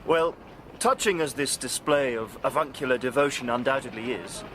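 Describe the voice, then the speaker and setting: An actor playing a jovial, wry Victorian elder brother with a hearty, wordy manner in a radio drama. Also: male voice, medium pitch, normal speed, fairly bright tone, a little hiss or hum, close to an everyday voice, low-quality recording. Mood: wry, teasing.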